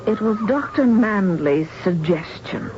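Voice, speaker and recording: A woman's voice: mid-range voice, lively intonation, measured pace, darkish tone, a little hiss or hum, natural voice. An actress playing a polite, anxious young woman in a radio drama, careful and well-spoken as she tells her story. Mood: anxious, conciliatory. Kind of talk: radio drama acting with first-person narration. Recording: muffled 1970s radio broadcast with music.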